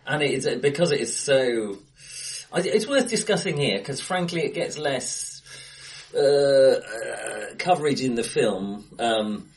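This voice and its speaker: A man co-hosting a casual film discussion in a bright room, speaking in stop-start phrases with emphatic bursts. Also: medium pitch, expressive, measured pace. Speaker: podcast co-host. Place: acoustically bright room, face to face.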